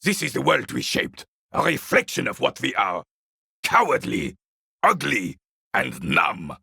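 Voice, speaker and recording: An actor playing a proud, bitter old royalist veteran in a video game, gruff and scornful, with a gravelly, raspy voice. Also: male voice, mid-range voice, huge pitch swings, unhurried, bright, forceful tone, dry recording, studio quality, heavily acted. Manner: gruff, scornful, theatrical, barking at younger men. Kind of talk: video game character dialogue.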